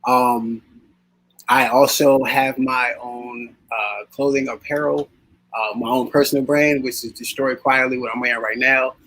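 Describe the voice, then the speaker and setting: A man introduces himself over a video call in a relaxed way, his voice pitched in the middle range. He drops in an "uh" here and there. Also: a little slow, low-quality recording. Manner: relaxed, with fillers. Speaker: breaking teacher. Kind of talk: panel introduction.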